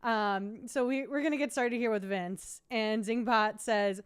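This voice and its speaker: A woman's voice: on the high side, steady tone, a little slow, clear, resonant tone. A woman co-hosting a casual recap podcast, chatty and drily teasing. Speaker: podcast co-host. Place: studio.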